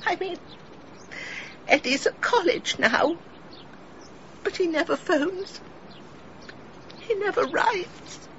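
A woman's voice: very high voice, lively intonation, takes it slowly, long pauses, fairly bright tone, muffled recording, a little hiss or hum, exaggerated voice. An actress playing a genteel middle-aged English village woman in a radio drama, confessing in a wistful, melodramatic, theatrical way. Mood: bitter sorrow. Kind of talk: British radio drama acting.

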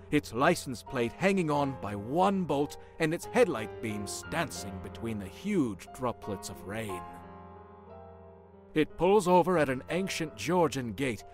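A man playing a spooky narrator, telling a scripted horror tale with theatrical, ominous phrasing. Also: medium pitch. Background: music.

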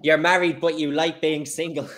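A man's voice: a little high, steady tone, normal speed, a bit bright. A man in his late twenties with an Irish accent, teaching English in a casual chat, using simple phrasing and repeating key words. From Ireland. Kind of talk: casual conversational lesson. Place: kitchen indoors, video call. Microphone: video call device.

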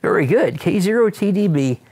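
A man's voice: high-pitched, lively intonation, moderate pace, a little mellow, husky. A middle-aged man with an American accent presenting an instructional demonstration with casual radio chat, methodical in his delivery, recorded in an indoor studio. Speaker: presenter, ham radio operator. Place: indoor studio with wood-panel backdrop.

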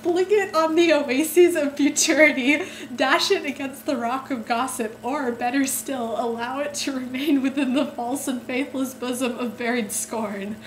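A young woman with a high voice reads florid old prose aloud as an amateur. Her delivery is dramatic and giggly, tripping now and then on the long sentences. She is recorded in a room at home with faint noise behind her. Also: steady tone, a little slow, hardly any pauses. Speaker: amateur reader. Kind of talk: dramatic reading of an overwrought novel.

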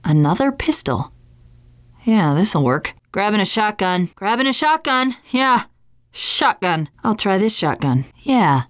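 A woman's voice: medium pitch, big pitch swings, measured pace, dry recording, studio quality. An actress playing a tough young woman surviving a zombie apocalypse in a video game, giving short, punchy callouts. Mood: casual, determined.

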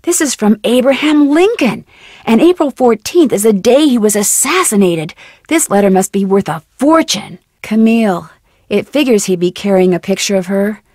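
A young woman with an American accent playing a curious, upbeat teenage girl detective in an adventure game, speaking in a clear, inquisitive way. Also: mid-range voice, lively intonation, normal speed, a little mellow, no echo, clean studio sound, close to an everyday voice. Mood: excited, curious. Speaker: video game voice actor. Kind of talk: scripted adventure game lines, thinking aloud.

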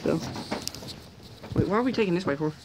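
A man's voice: fairly high pitch, expressive, a little fast. Young male vlogger, casual and profane, handheld phone audio outdoors, very noisy. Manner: loose, profane, jumpy. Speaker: vlogger exploring abandoned buildings. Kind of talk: casual handheld exploration chat. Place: outdoors in woods and ruined sheds. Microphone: phone camera carried while walking. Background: rain and loud handling noise.

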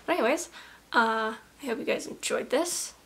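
Young woman rambling to her camera, bit raspy from allergies, fairly high voice, keeps laughing, faint hiss behind. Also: moderate pace, fairly bright tone. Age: young adult. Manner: rambling, giggly, self-conscious. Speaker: vlogger. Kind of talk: casual chat to camera. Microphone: camera mic.

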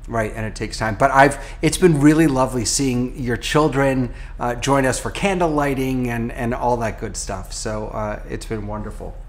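A middle-aged American man, a rabbi, hosting a relaxed podcast conversation over a video call from his home office, talking in an animated way with frequent restarts. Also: mid-range voice, normal speed, few pauses. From United States.

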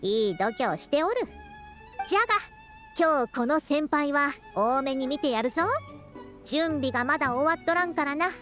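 A woman's voice: high-pitched, normal speed, a bit bright, faint music behind. A woman voicing a proud, youthful-sounding scholar in a Japanese video game, talking in a self-important yet caring way with old-fashioned elder's phrasing. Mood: haughty, indulgent. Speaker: scholar and teacher. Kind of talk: Japanese anime-style video game voice acting.

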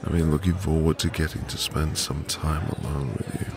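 A man playing a tender, devoted newlywed husband in a soft, intimate, romantic ASMR roleplay with lingering pauses. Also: a bass voice, steady tone. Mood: tender, happy. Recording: music behind the voice. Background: music.

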